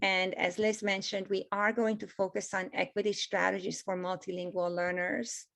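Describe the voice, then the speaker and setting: A woman's voice: medium pitch, level tone, normal speed, creaky, a bit bright. A woman in her fifties from Hungary, with a Hungarian accent, giving a gracious, emphatic webinar presentation over a video call.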